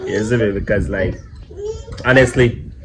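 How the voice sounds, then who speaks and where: A man's voice: lowish voice, unhurried, a bit bright, a little hiss or hum. A young man with a Jamaican accent chatting casually in patois for a family vlog, often saying "you see me". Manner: laid-back patois talk with repeated "you see me". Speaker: vlogger. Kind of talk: casual chat.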